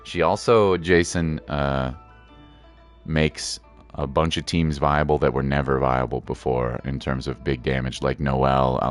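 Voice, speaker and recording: A man streaming a game and chatting casually with viewers, slangy and reassuring, repeating his points for emphasis. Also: very deep voice. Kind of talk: casual livestream chat with viewers.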